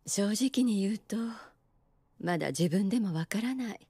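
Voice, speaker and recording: Anime nurse: grown woman, gentle and coy, breathy edge, expressive inflection, dry sound. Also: medium pitch, moderate pace, dry recording. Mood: wistful, resigned. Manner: gentle, coy, feminine phrasing. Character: composed adult nurse, softly teasing, wistful. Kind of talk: anime drama dialogue.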